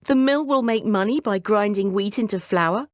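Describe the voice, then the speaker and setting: A woman's voice: medium pitch, moderate pace, no echo, clean studio sound, lightly acted. A woman playing an upset, penniless young man, plaintive and doubting, in a children's storybook reading. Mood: sobbing, self-pitying.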